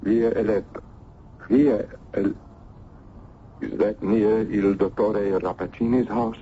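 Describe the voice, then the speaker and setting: Slow, courtly old-world professor. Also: male voice, on the low side, fairly even intonation, mellow. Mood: genial, brisk. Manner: ruminative, courtly, hesitant pauses. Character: learned older male Italian medical professor, genial but wary. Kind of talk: old-time radio drama acting. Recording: dull, noisy old radio recording.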